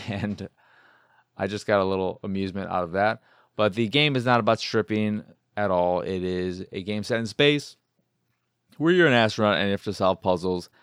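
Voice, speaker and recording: Low-voiced American man, casual and rambling. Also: smooth, no echo, studio quality. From Chicago, United States. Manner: rambling, profane, self-amused. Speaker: video game podcast host. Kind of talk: casual solo game review talk.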